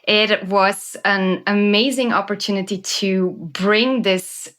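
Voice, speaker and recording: A woman's voice: mid-range voice, steady tone, takes it slowly, fairly bright tone. A woman in her thirties from Belgium with a Belgian accent, a guest telling her story with enthusiasm in a conversational interview.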